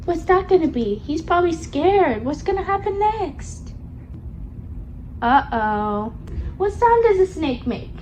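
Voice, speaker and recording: A woman reads a picture book to a young child in a high, sing-song voice. She takes her time and keeps coaxing the listener with simple questions. There is slight background noise behind her. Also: big pitch swings, takes it slowly, a little mellow, low-quality recording. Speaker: storybook reader for a young child.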